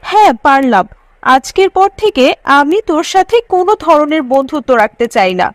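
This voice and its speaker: A woman's voice: high voice, big pitch swings, normal speed, no echo. A woman voicing a pious, moralising young college girl in a cartoon story. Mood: scolding. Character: pious, scolding young college girlfriend Mariam.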